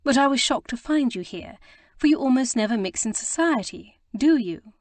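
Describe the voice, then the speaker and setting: A woman voicing a flustered, earnest young gentlewoman who stammers and rushes to explain herself. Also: on the high side, lively intonation, no room sound, voice pushed up, lightly acted. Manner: breathless, stammering, eager to explain. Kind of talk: audiobook character reading.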